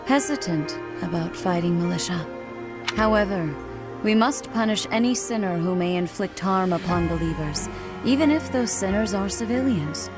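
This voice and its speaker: A woman playing a devout archbishop in a video game, formal, measured and solemn, with serene authority. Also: fairly low pitch, lightly acted.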